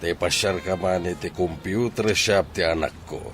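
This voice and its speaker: A man's voice: deep voice, normal speed, natural voice. A man playing a friendly, boastful older village father with a Filipino accent in a radio drama. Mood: persuading.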